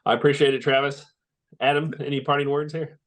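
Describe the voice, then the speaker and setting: A man's voice: on the high side, little pitch movement, fairly quick. A man hosting a podcast, speaking in a casual conversational style. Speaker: podcast host.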